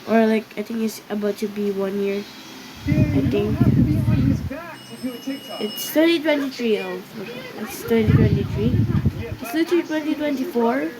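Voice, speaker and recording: A woman's voice: on the high side, expressive, moderate pace, pauses often. A woman with a cough and a cold, chatting casually and hesitantly while she colors, with many ums and restarts. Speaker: vlogger. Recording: loud background noise.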